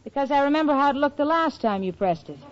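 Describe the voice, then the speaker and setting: A woman's voice: sits high, expressive, fast. A woman playing a sensible, exasperated young roommate with dry, sarcastic timing in a noisy, muffled 1940s radio sitcom. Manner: dry, sarcastic, sharply articulated comic delivery. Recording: muffled, noisy old-time radio broadcast.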